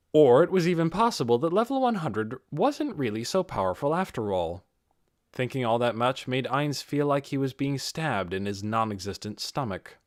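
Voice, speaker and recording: A man reading a scripted fantasy audiobook in an expressive, dramatic storytelling manner. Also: mid-range voice, no echo, studio quality. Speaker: audiobook reader.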